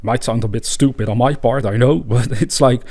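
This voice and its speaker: A man with a Dutch accent, a native Dutch speaker, hosting a spiritual podcast in a calm, reflective monologue with pauses and fillers. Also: mid-range voice. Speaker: podcast host.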